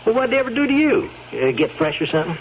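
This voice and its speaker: A man's voice: extremely high pitch, big pitch swings, very fast, full character voice. An actor playing a sly, folksy stable hand turned blackmailer in a radio drama, rambling and insinuating as he wheedles. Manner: folksy, rambling, insinuating, chuckling drawl of hesitations. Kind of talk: old radio drama acting. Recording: dull, hissy old radio recording.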